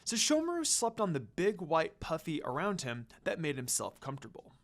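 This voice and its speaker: A woman reading fan fiction aloud as an expressive storyteller. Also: low voice, moderate pace.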